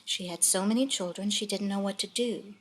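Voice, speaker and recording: A woman's voice: medium pitch, brisk, slight background noise, natural voice. A woman voicing an eager, slightly boastful nursery-rhyme girl puppet who recites in a sing-song way. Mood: reciting.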